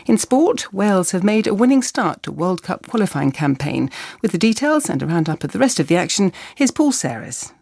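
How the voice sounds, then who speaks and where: Woman newsreader, slightly creaky, close voice. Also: mid-range voice, big pitch swings, darkish tone, no echo. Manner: measured, formal. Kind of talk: scripted radio news reading.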